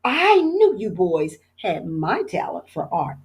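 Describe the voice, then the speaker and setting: A woman reading aloud voices a prim, proper mother who gently corrects her children. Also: fairly low pitch, huge pitch swings, slow, full character voice.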